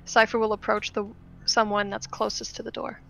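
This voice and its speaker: A woman's voice: medium pitch, monotone, moderate pace, gravelly, a bit bright. A woman talking casually as a player in a tabletop roleplaying game.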